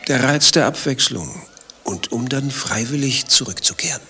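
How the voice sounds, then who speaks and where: A man's voice: mid-range voice, expressive, moderate pace, sharp tone, a little hiss or hum. An actor playing an infatuated married man in a radio play, defensive and given to impassioned philosophizing. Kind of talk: German radio play dialogue acting.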